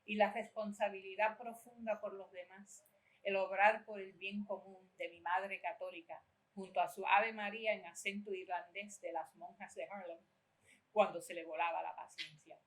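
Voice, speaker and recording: An older woman from Puerto Rico reads her own writing aloud as a keynote speaker in a university amphitheater. Her delivery is measured and lyrical, easing back and forth between Spanish and English, with a voice of ordinary pitch for a woman. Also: fairly even intonation, fairly bright tone, rough recording. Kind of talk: reading aloud from her own memoir-style prose.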